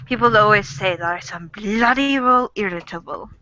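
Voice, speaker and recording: A prim, irritable British lady , as an American-sounding woman puts her on, drawn out slowly and exaggerated, with a bright edge. The audio is lo-fi. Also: mid-range voice, slight background noise, lightly acted. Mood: mock-stern. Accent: put-on British.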